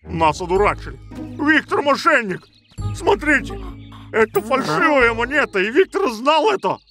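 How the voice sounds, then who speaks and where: A man's voice: extremely high pitch, sing-song, normal speed, bright, forceful tone, soft background music, heavily acted. A man voicing a grumpy, self-important monkey king in a cartoon dub, with a pompous, dramatic manner. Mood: indignant.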